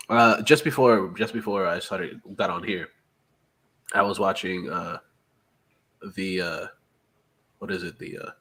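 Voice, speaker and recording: A man with a congested nose and a leftover cough joins the podcast chat. His voice is husky and low. He talks loosely and swears freely, full of enthusiasm. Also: a bit bright. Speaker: podcast co-host.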